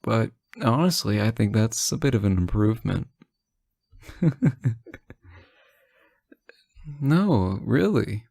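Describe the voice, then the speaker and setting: Guy playing a tired boyfriend on a late call, low creaky voice, teasing and sweet, audio roleplay. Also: animated, normal speed, dry recording, studio quality. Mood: playful, fond. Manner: soft-spoken, hesitant, drowsy, gently joking. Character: sleep-deprived, teasing, affectionate boyfriend on late-night call. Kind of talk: intimate audio roleplay, one side of a phone call.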